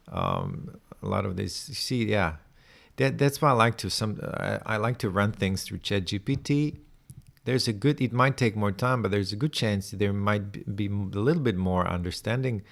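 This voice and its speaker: A man's voice: lowish voice, vocal fry. A man working through a coding tutorial by thinking aloud. He talks hesitantly, mutters to himself and trails off. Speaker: programming tutorial presenter.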